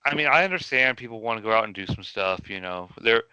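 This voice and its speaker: Bright, smooth-voiced man, dry sound. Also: fairly low pitch, expressive, fast, no echo, poor audio. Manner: steers topics, hesitant restarts. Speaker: podcast co-host. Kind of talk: casual chat.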